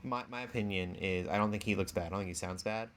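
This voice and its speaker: A man's voice: deep voice, fast talker, fairly bright tone, slight background noise. A man chatting casually as a podcast co-host. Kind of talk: casual chat.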